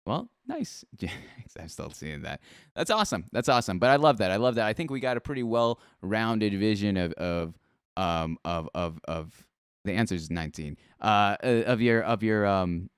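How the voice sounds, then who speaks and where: Upbeat guy in his 20s hosting a stream chat, smooth clear voice. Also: fairly low pitch, moderate pace, no echo, clean studio sound. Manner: energetic, upbeat, addresses the chat. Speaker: host. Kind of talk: casual live-stream interview.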